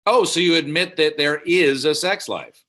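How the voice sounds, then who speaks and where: A man's voice: a little high, moderate pace, pushed and bright. A man acting a stubborn, moralizing older father at a comedy table read, prudish and insistent. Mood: disapproving.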